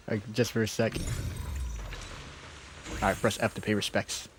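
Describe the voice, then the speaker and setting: A man's voice: lowish voice, level tone, a little slow, slight background noise. A man doing casual gameplay commentary as a streamer, joking and slangy, often chuckling.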